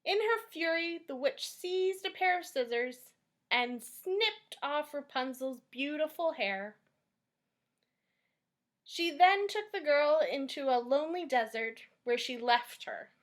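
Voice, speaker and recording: Slow, high-voiced woman telling children's stories. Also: expressive. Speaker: library storytime host. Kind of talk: children's storybook read-aloud and craft instruction.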